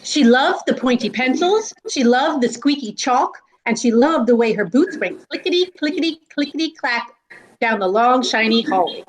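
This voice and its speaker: A woman's voice: high-pitched, animated, moderate pace, a little hiss or hum, rough recording. A woman reading a children's storybook aloud as a school staff reader.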